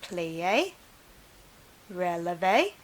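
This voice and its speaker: A woman's voice: lowish voice, big pitch swings, very slow, a little hiss or hum, full character voice. A woman voicing a grand, kindly but commanding dance mistress in a storybook, theatrical and genteel.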